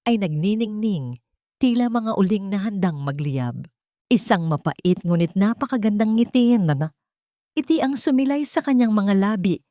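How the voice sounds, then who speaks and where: A woman narrating a scripted audio drama in a measured, dramatic way. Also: medium pitch, darkish tone, no room sound, studio quality. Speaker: storyteller.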